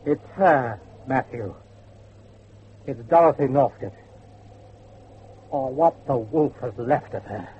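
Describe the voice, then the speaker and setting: An actor playing an uneasy, dread-filled companion, speaking gravely in a horror audio drama. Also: male voice, mid-range voice, lively intonation, slow, pauses often. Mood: fearful horror. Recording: very narrow tinny sound, clear background noise.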